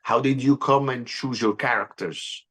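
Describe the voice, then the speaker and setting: A man's voice: medium pitch, a little slow, a bit bright, breathy edge. A man from Egypt interviewing a film director, effusive with praise and loose in his phrasing. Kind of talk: enthusiastic interview questions.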